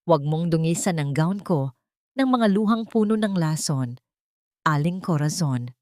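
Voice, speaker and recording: A woman's voice: sits low, expressive, darkish tone, no room sound. A woman narrator plays a proud, wronged Filipino mother in an audiobook drama. She speaks in a guarded, restrained way. The sound is dry and close. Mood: cold contempt. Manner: restrained, measured. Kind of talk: audiobook character dialogue.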